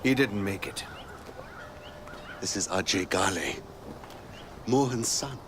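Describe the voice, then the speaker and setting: An actor playing a commanding, brotherly but impatient rebel leader in a video game cutscene. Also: male voice, on the low side, slow. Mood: steady, grave. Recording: game soundtrack and noise behind.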